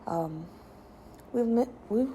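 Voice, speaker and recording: A woman giving a solemn, personal spoken tribute in halting, measured phrases, with some ambient noise in the recording. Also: on the high side, animated, mellow, low-quality recording. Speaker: podcast co-host giving a guest tribute.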